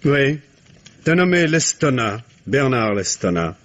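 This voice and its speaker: An actor playing a friendly, nosy reporter with a chatty, coaxing manner in a 1950s-style radio drama. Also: male voice, medium pitch, big pitch swings, a little slow, fairly bright tone, highs cut off. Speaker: journalist.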